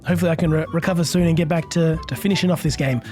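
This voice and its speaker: A hoarse man, a little under the weather, casually musing aloud as he narrates his game session and chuckling often. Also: fairly high pitch, steady tone, rapid-fire, darkish tone, soft background music. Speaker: let's play host. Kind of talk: gameplay commentary.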